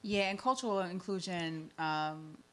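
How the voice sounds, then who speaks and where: A woman's voice: fairly low pitch, a little slow. A woman hosting a conversational radio show, often peppering her points with "like" and "you know". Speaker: host.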